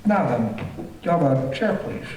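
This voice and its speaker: An actor plays an unctuous, sinister gentleman in a radio horror drama. He speaks with swooping intonation, repeating himself hesitantly, far from the mic in an echoey theater. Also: medium pitch, animated, a little slow, lightly acted. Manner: hesitant, repetitive, overly reassuring. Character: oily, flustered, sinister gentleman greeting a visitor. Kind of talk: old-time radio horror drama acting. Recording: echoey theater, distant mic, faint noise.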